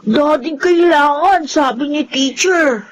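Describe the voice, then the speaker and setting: Woman acting high, slow-talking Filipino little kid. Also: low-quality recording. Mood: wistful, childlike. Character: sweet, wistful young schoolchild talking to mother. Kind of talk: Filipino radio drama acting.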